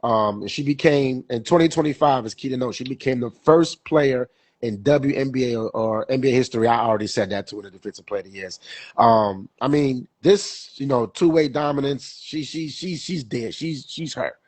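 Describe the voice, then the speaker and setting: Man, young adult, podcast host, stuffy with a cold, husky, rambling, dry close sound. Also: mid-range voice, moderate pace, darkish tone, dry recording. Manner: rambling, repeats himself, many fillers. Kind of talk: casual sports talk.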